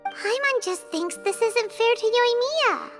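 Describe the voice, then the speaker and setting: Small chirpy fairy sidekick for a game: childlike squeak, extremely high, animated. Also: female voice, unhurried. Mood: worried. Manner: refers to herself in third person. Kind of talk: video game voice acting. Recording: background music under the voice.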